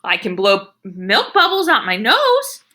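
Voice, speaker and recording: A woman's voice: fairly high pitch, huge pitch swings, measured pace, sharp tone, heavily acted. A woman voicing an eager, guileless, odd schoolboy in a storybook, blurting things out earnestly.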